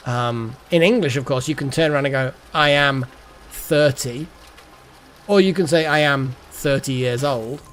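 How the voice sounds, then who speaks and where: A man's voice: medium pitch, measured pace, a bit bright, faint music behind. A man in his thirties chatting casually on a live game stream, rambling and repeating words as he muses aloud.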